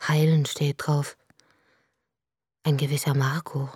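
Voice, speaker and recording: A woman's voice: sits low, flat delivery, slow. An actress playing a grieving, childless woman who tells her story in a wry, bitter radio drama monologue.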